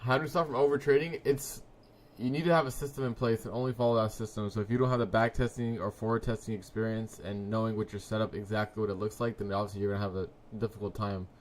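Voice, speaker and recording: A man in his early twenties hosting a live trading stream, chatting casually with viewers in a laid-back, slangy way and letting his sentences trail off quietly. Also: fairly low pitch, steady tone, fast, slight background noise. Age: 20s. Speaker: livestream trading host. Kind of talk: casual live-stream chat reading comments.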